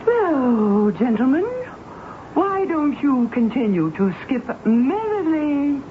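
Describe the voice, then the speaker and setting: Prim, high-voiced scolding lady. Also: big pitch swings, slow pace, heavily acted. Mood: indignant, sarcastic. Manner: fussy, sarcastic, theatrical. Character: indignant, prim, scolding spinster neighbour lady. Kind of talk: radio drama acting. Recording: tinny, noisy old radio recording.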